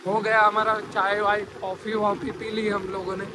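A young man talks casually to his viewers while riding through city traffic, his throat a bit hoarse. His voice sits high and stays fairly flat. Loud engine and street noise fill the background. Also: normal speed. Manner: chatty, addresses viewers as guys and brother. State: hoarse from dust. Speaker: motovlogger. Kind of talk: casual motovlog narration. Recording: noisy outdoor street recording. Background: traffic and motorcycle engine noise.

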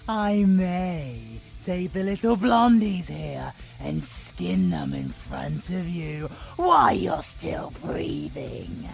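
A British man playing a sadistic, playful supervillain monster in an audio roleplay, with a theatrical, taunting, drawn-out delivery. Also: high voice, sing-song, creaky, faint music behind, exaggerated voice. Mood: gleeful threat.

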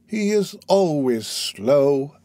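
A man voicing a dignified elderly lady who speaks slowly and calmly. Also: a little high, no room sound, heavily acted.

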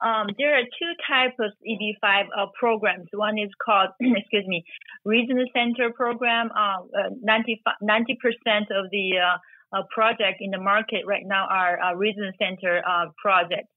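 A woman's voice: mid-range voice, fairly even intonation, normal speed, dry recording. A woman from China, a Chinese and Korean speaker, in her forties, explaining immigration law as a guest, with many hesitations. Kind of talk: interview answers. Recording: phone line.

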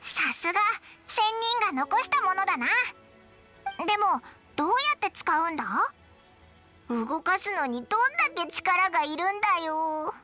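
A woman voicing a tiny childlike fairy companion in a video game, squeaky, blunt and expressive. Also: moderate pace, music in the background. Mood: curious. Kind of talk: Japanese game voice acting.

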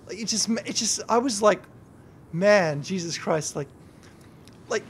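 A drunk young man rambling and swearing through a gameplay livestream, yelling at the game now and then. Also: high voice, a little slow, slight background noise. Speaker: YouTuber and streamer.

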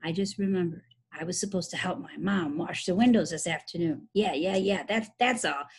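A woman's voice: on the low side, normal speed, breathy edge. A woman voicing a nervous, wary young boy in a storybook, hesitant and stammering, repeating words. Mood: defensive, flustered. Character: nervous, wary boys urging on and doubting their friend.

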